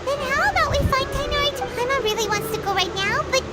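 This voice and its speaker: A woman voicing a tiny, excitable female fairy companion who talks about herself in the third person. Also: extremely high pitch, quick pace. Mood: eager. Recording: game audio with loud music. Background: loud game music.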